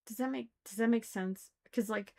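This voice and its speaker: A woman giving casual, critical commentary, thinking aloud haltingly and repeating phrases for emphasis. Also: on the high side, dark tone. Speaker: reader commenting on a religious text.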